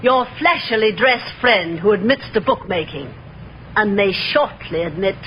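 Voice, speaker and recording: Old muffled radio play: actress as imperious grande dame of the stage, precise and theatrical. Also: female voice, mid-range voice, expressive, unhurried, natural voice. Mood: cold, imperious. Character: commanding, theatrical female actress-manager of a stage company. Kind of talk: 1950s radio drama acting. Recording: muffled, noisy 1950s radio recording.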